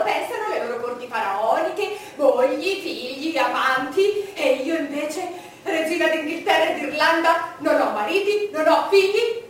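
An actress playing an imperious, vain, solitary English queen in a declamatory stage monologue with dramatic pauses. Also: high-pitched, far from the mic. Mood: proud, defiant. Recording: noisy, echoey stage recording from afar.

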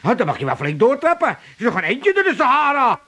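Sharp, high, mocking man. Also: wildly swooping pitch, fast talker, exaggerated voice. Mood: mockingly incredulous. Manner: stammering repeats, sarcastic. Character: mocking, incredulous working-class Amsterdam man. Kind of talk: 1980s comedy radio drama acting.

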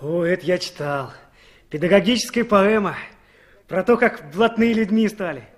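A very high-voiced young lad in an old Soviet radio play. He is eager and naive, excitable and full of questions. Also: moderate pace.

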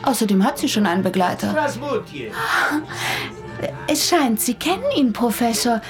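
A woman's voice: a little high, animated, moderate pace, close to an everyday voice. Pointed, teasing woman played by an actress, music behind. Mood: possessive, jealous. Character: sharp-tongued, possessive female companion of a doctor. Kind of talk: German radio play acting.

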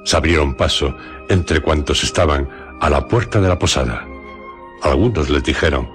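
Deep-voiced male radio drama narrator. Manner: measured, literary.

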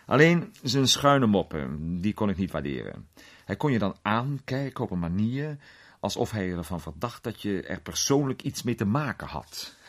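A genial film star spinning a reminiscence in a radio play. His deep, husky voice moves with plenty of rise and fall. He talks in a conversational, slightly hesitant way, like a raconteur. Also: very animated, normal speed, fairly bright tone, heavily acted. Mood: musing, reminiscing. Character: male film actor, ex-athlete, recounting a strange tale genially.

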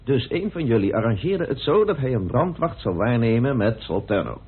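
An actor playing a methodical, insistent amateur detective in a radio play, speaking deliberately with theatrical pauses as he accuses. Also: mid-range voice, big pitch swings, breathy edge. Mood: accusing, deliberate. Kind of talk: radio play acting in Dutch. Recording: muffled old radio drama recording.

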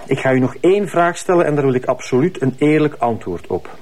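A man's voice: a little high, a little fast, a little mellow, lightly acted. An actor with a Flemish accent playing a shrewd middle-aged man in a TV crime drama, sceptical and pressing, talking with familiarity. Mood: probing. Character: shrewd, probing middle-aged man confronting his old friend. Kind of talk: naturalistic TV crime drama acting.

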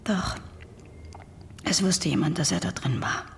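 An actress playing a fragile, traumatised witness in a radio drama, halting, breathy and often whispering. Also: female voice, sits low, expressive, a little hiss or hum, exaggerated voice. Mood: tearful, subdued.

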